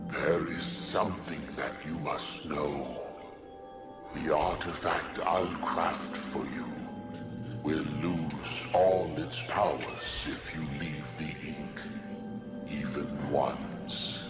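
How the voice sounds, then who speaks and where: Very deep, weary mutated dreamer. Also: male voice, steady tone. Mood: weary, pleading. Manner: grave, regretful, slow and deliberate. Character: tormented mutated psychic dreamer, weary male monstrosity. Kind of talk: video game cutscene acting. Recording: loud music under the voice.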